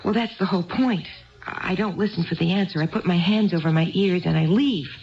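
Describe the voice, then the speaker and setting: An actress in a 1970s radio drama playing a troubled, tipsy wife who confides earnestly and ramblingly in a bartender. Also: female voice, fairly low pitch, fairly quick, close to an everyday voice. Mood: earnest, confiding. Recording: dull 1970s radio broadcast recording.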